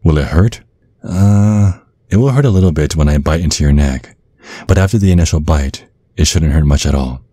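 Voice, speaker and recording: A man playing a tender, protective vampire boyfriend in an ASMR roleplay, speaking in a soothing, coaxing, affectionate way with a husky voice. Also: extremely low pitch, moderate pace, mellow, no room sound, studio quality. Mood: hesitant, coaxing. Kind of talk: ASMR roleplay, intimate soft talk.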